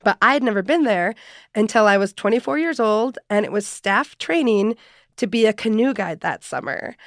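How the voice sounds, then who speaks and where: An expressive woman in her late thirties or forties, an outdoor guide telling stories as a podcast guest. Her pitch sits mid-range, and the recording is dry and close, with no room echo. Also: moderate pace. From born in Ecuador, raised in Minnesota. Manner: expressive, animated storyteller. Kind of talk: podcast interview, storytelling.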